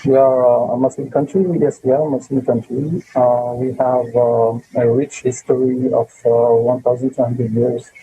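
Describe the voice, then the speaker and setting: A man's voice: mid-range voice, flat delivery, normal speed, hardly any pauses, mellow, lo-fi sound, slight background noise. A man from Morocco, a native Arabic speaker with a Moroccan accent, answers interview questions in a hesitant way with many fillers and repeated words. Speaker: tourism board representative.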